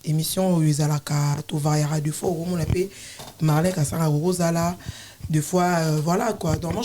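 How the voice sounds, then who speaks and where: A woman co-hosts a talk show and welcomes the guest, often breaking off and restarting. Her voice is mellow. Faint noise runs under the recording. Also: deep voice. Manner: halting, many restarts. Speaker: co-host.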